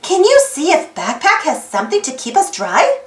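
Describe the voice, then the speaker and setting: A woman reading a children's picture book aloud in an animated way, putting questions to young listeners. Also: sits high, normal speed, roomy sound. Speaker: storybook read-aloud host.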